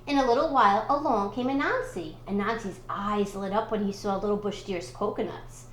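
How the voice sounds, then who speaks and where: A woman reading a children's storybook aloud in expressive narration. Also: mid-range voice, a little fast, reverberant, slight background noise, rough recording. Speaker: school storybook reader.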